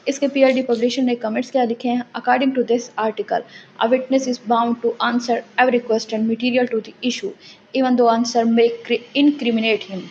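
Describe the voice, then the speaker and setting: A Pakistani woman lectures on law in a rather high voice with heavy vocal fry. She reads legal wording aloud and explains it like a teacher. The recording is dull and lo-fi, with faint noise behind her. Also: a little mellow. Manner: didactic, explanatory.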